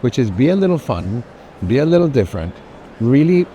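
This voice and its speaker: A man's voice: medium pitch, big pitch swings, a little fast, dark tone. A man speaking casually as an art collector and guest at a fair, effusive and emphatic. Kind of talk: casual chat. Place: art fair, walking tour. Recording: faint noisy fair hall ambience.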